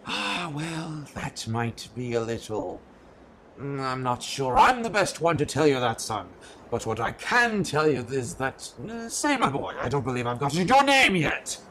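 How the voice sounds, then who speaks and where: A man's voice: medium pitch, very animated, measured pace, pushed and bright, a little hiss or hum, full character voice. A man voicing an eccentric, kindly but secretive older alien in an amateur radio play, speaking in a theatrical, formal, rambling way. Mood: musing, kindly.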